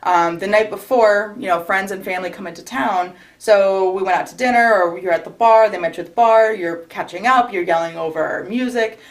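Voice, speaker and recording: A woman's voice: mid-range voice, fairly quick, hardly any pauses. A young woman, a recent bride from New York state, giving casual interview advice in a chatty way with frequent restarts. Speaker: recently married bride, guest.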